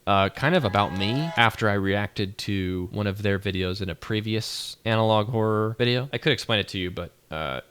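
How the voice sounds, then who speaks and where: Casual guy reacting to videos, kinda low voice, wry, talks like he's chatting with friends. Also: normal speed, hardly any pauses, fairly bright tone, slight background noise. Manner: wry, colloquial. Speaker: host, reaction video creator.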